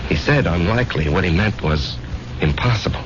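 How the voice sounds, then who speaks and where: An actor playing a weary, guilt-ridden married war veteran in a 1970s radio drama, speaking in a halting, earnest way. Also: male voice, sits low, lively intonation, background noise. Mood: hesitant, ashamed. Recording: dull vintage radio recording, music behind.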